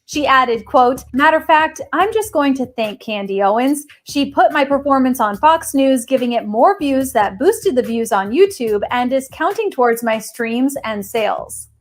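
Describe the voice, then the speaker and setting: A woman's voice: high voice, animated, moderate pace, hardly any pauses. A woman presenting entertainment news in a scripted narration style.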